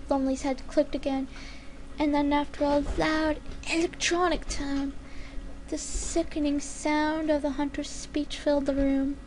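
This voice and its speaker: A woman's voice: high voice, flat delivery, a little slow, darkish tone, rough recording. A woman giving an amateur dramatic narration of a comic fan-written story. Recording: faint background noise.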